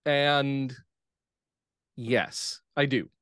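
A man speaking as an interview guest in casual chat, enthusiastic and often checking his points with a quick questioning tag. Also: mid-range voice, fairly even intonation, a bit bright, clear, resonant tone, dry recording, clean studio sound. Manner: enthusiastic, punctuates points with "right?". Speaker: playwright and actor, podcast guest.

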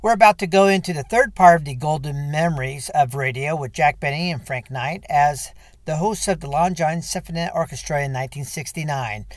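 A man's voice: fairly high pitch, brisk, no echo. A man reading a scripted introduction as a radio show host. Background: faint music.

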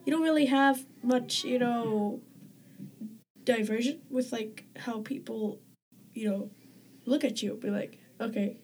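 Mellow-voiced woman, halting and casual. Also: on the high side, slight background noise. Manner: hesitant, with many fillers and restarts. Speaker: guest community member. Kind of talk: casual conversation.

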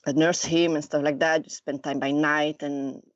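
A woman in her thirties from Lausanne with a Swiss French accent, a podcast guest who talks casually and often pauses to search for words. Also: sits low, little pitch movement, dry recording. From Lausanne, Switzerland. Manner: halting, searching for words, many fillers. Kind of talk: casual conversation. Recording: dull, dry remote recording.

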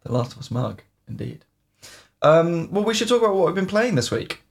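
Gravelly man hosting a podcast. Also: fairly high pitch, very animated. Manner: hesitant, filling pauses with "um". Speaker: podcast co-host. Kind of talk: casual chat about video games.

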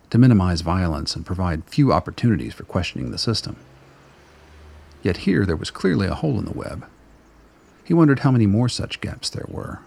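A man narrating a radio play in a measured, descriptive storytelling manner. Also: sits low, vocal fry, darkish tone, husky. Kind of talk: scripted narration.

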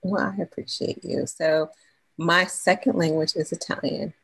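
Low gravelly-voiced woman guest. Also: normal speed, poor audio. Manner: animated storyteller, slangy, acts out quotes. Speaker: podcast guest. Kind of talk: casual interview chat.

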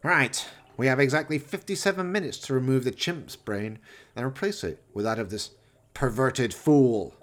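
A man playing an evil, excitable mad scientist in a radio play, pompous, theatrical and fawning toward his master. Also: medium pitch, normal speed, voice pushed up, natural voice. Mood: gleeful, excited. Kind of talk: radio play villain acting.

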